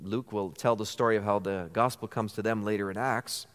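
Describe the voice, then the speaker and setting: A man's voice: on the low side, fairly even intonation, a little fast. Preaching man in a reverberant church. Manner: earnest preaching, rhetorical questions. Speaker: pastor. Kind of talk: sermon. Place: church sanctuary.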